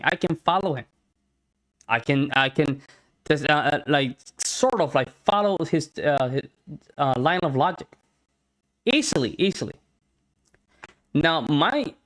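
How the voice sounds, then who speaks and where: A man from Puerto Rico with a Puerto Rican accent and Spanish as his first language, giving casual commentary on a clip, often halting and repeating words. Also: a little high, fairly bright tone. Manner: halting, repeats words while searching for them. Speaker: reaction video host.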